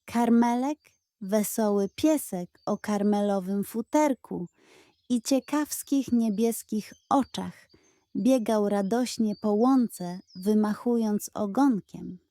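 A woman reads a children's bedtime story as a gentle, expressive storyteller. Her voice is mellow and fairly high, and she takes her time. The recording is close and dry. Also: slow, dry recording.

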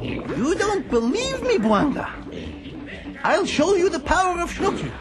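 A man voicing an excitable, bluffing doctor hero in a children's audio adventure, theatrical and indignant. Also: very high-pitched, measured pace, over music, full character voice. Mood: defiant.

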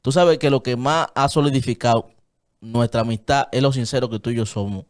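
A man with a Dominican Spanish accent, in his thirties, talking earnestly and gesturing as he makes his point. He is close on a studio desk microphone in a small padded booth, with a voice of middling pitch. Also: a little fast. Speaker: guest. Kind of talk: casual studio chat. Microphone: studio mic on a desk stand, headphones on.

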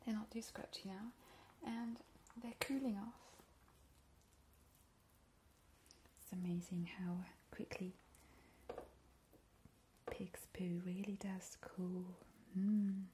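A woman playing a playful, ghoulish vampire in a whispered ASMR roleplay, breathy and slow, with soothing relish. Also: medium pitch, steady tone, natural voice. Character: playful, ghoulish immortal female vampire baking gruesome treats. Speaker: ASMR host in character. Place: home kitchen, indoors. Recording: noticeable background hiss, soft clicks.

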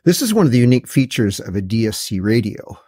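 A man's voice: on the low side, very animated, quick pace, a little mellow, husky. A middle-aged or older man giving a casual, matter-of-fact product walkthrough as a video host, with a slightly wry, plain-spoken way of talking. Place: indoor garage workshop.

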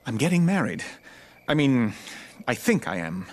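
An actor playing a gentle, bashful landowner in love in an animated Bible story, halting and earnest. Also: male voice, mid-range voice, natural voice. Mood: urgent, unsure. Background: music.

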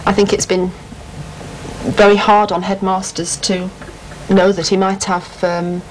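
A woman's voice: mid-range voice, flat delivery, unhurried, low-quality recording. A woman, firmly giving her opinions in a documentary interview at home. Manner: firm, opinionated. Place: room at home. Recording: old VHS tape with faint hiss.

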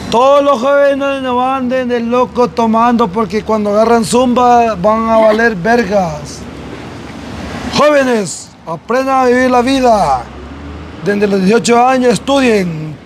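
Young Salvadoran male interviewee, high-pitched, emphatic and slangy, on a noisy street with traffic. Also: a little slow. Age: young adult. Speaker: interview guest, former footballer living on the street. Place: outdoors on a city street at night. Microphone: clip-on lavalier.

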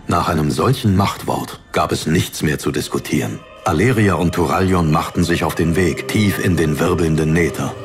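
A man narrating a fantasy audiobook in a measured, serious storytelling manner. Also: extremely low pitch. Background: music.

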